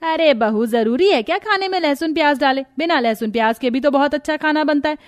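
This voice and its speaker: Woman acting a stingy, nagging mother-in-law, high voice. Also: brisk, no echo, natural voice. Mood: dismissive, nagging. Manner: nagging, accusatory. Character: stingy, scolding middle-aged mother-in-law, cunning and domineering. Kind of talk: Hindi cartoon moral-story dialogue.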